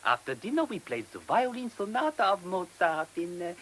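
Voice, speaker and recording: Dreamy professor, old radio play, slight hiss, obliging and unsure. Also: male voice, high-pitched, very animated, moderate pace, a bit bright, poor audio. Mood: hesitant, wistful. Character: obliging, cultured German professor of economics. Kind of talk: radio drama acting. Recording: hissy old radio recording.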